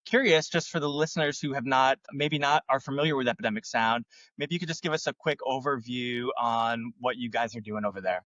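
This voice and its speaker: A man hosting a podcast, asking enthusiastic, halting questions with restarts. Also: medium pitch, pushed and bright, no echo, muffled recording. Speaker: podcast host. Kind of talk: podcast interview.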